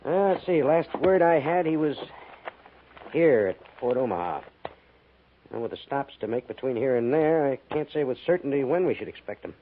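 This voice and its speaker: A man's voice: medium pitch, lively intonation, natural voice. Formal army major on tinny old radio man acting. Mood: businesslike. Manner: formal, measured, officious. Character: male army major, formal, exacting post commander. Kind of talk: 1950s radio western acting. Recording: narrow, hissy 1950s radio recording.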